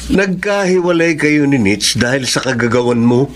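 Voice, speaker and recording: A man's voice: mid-range voice, lively intonation, moderate pace, natural voice. A man playing a defiant, possessive lover and romantic rival in a Filipino radio drama, with faint background noise. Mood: taunting, defiant. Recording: faint noise and ambience behind.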